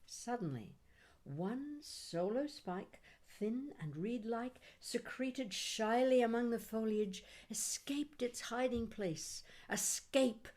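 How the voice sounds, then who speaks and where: A woman reading her own poetry aloud, with deliberate, measured phrasing, dramatic pauses and short chatty asides. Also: a little high, animated, a little mellow. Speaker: poet reading own work.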